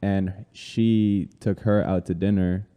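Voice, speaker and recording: A man in his thirties from Colombia talks through his story at an easy pace, with plenty of "uh" and "like" along the way. His voice is low, very dark and smooth. It has a long hall reverb around it. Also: slow. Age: 30s. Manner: relaxed, with many fillers. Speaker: guest. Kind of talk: casual interview conversation.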